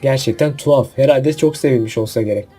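A man's voice: medium pitch, fast, mellow, slightly rough. A man hosting a casual list video, giving opinionated commentary with jokey asides and rhetorical questions. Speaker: video host.

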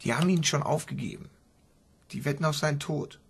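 A man playing an earnest, intense son absorbed in a game, husky and creaky, in a German radio drama. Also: fairly high pitch, fairly quick, full character voice. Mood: urgent, pleading.

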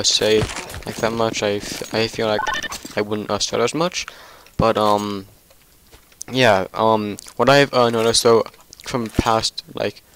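A young man talks casually over his gameplay, stuttering on many words and filling the gaps with ums and restarts. His voice sits a little low and he sounds tired. A faint hiss runs under his desk mic. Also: fairly bright tone. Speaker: gaming channel host.

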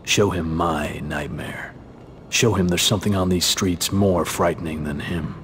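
A man playing a brooding masked vigilante in his thirties, terse and intimidating, with a hushed gravelly voice in a video game. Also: low-pitched, a little slow, a little hiss or hum. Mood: menacing. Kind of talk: video game cutscene acting.

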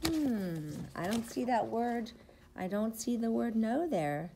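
A middle-aged woman, a preschool teacher, reads a picture book aloud to young children with expressive, rhythmic, sing-song phrasing. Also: fairly high pitch, slow pace, noisy background. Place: indoors, school classroom. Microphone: device camera at about arm's length.

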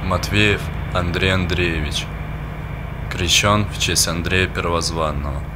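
Young man, 19, from Crimea, deep voice, formal, noisy background. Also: steady tone, unhurried.

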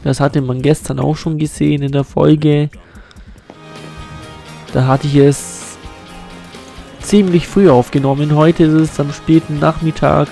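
A man's voice: a little high, fairly even intonation, a little slow, vocal fry, pauses often, mellow, soft background music. A man with a cold and a blocked nose, commenting on a football video game he is playing, recorded on a USB condenser mic at home. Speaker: gaming YouTuber.

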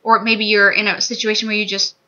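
A woman's voice: medium pitch, little pitch movement, a little fast, pushed and bright. A woman in her twenties telling a personal story candidly to camera in a small room on a webcam mic. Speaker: vlogger sharing a personal testimony. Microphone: webcam or laptop mic at desk distance. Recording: dull lo-fi webcam audio.

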